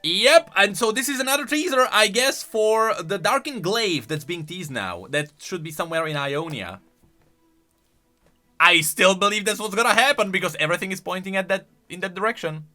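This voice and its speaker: A man gives off-the-cuff game commentary in a piercingly bright, fairly high voice. He is excitable and emphatic, with lively swings in intonation. Music plays faintly behind him. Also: moderate pace. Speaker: host, game lore commentator.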